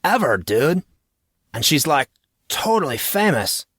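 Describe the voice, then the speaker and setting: A man acts out a teenage dude in an audiobook, excitable yet laid-back. His speech is slangy and full of amazement, in a dry recording. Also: fairly high pitch, breathy edge, no room sound, voice pushed up, full character voice. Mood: awestruck. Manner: slangy, drawn-out dude talk.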